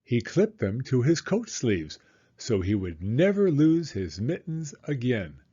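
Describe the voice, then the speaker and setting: A man reading a storybook aloud to young children in a deliberate, expressive storytelling way. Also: medium pitch, husky, highs cut off.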